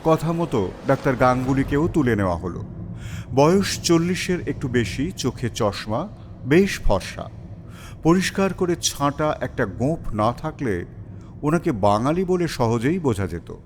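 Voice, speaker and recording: A man's voice: medium pitch, sing-song, measured pace, darkish tone, a little hiss or hum. A man narrating a scripted story as its storyteller.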